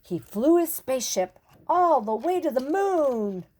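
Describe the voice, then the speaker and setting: An older woman narrating a children's picture book expressively, with deliberate dramatic emphasis and pauses. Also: high voice, very animated, warm tone. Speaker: storyteller reading to children.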